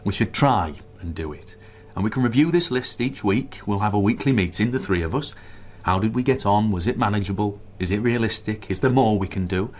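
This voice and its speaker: A man playing a deep-voiced wry dad. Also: darkish tone, natural voice. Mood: enthused. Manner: dry, wry, grumbly at first, then brisk and rallying. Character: greying husband and father, wry, then eagerly inspired. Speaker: father. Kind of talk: radio drama acting.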